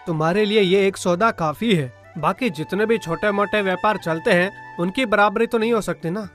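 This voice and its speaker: A man's voice: sits high, moderate pace, fairly bright tone, soft background music. A man playing a grateful, modest middle-aged merchant in a moral-story cartoon. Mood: resigned.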